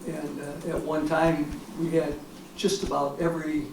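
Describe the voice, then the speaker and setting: Echoey, halting older American man, higher-pitched. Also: flat delivery. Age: 70s. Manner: halting, rambling delivery with frequent pauses. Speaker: war veteran telling his experiences. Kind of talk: informal reminiscence at a public talk. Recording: echoey, distant mic, clear background noise.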